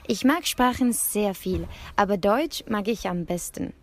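A woman's voice: medium pitch, expressive, unhurried. A twenty-year-old student from Spain with a Spanish accent, a woman introducing herself in a prepared recording. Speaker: translation student.

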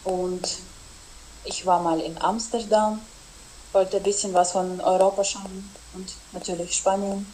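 A woman's voice: medium pitch, flat delivery, measured pace, mellow, poor audio. A young exchange student whose first language is Russian answers casual interview questions. She hesitates often and fills gaps as she talks. Her voice sits mid-range, with a faint hiss of noise in the background. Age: young adult. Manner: hesitant, with frequent fillers.

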